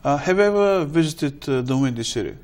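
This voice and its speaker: A middle-aged man hosting a studio interview, asking slow, deliberate questions with many hesitant pauses. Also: fairly high pitch, animated, darkish tone. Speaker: talk show host. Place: TV studio with blue curtain.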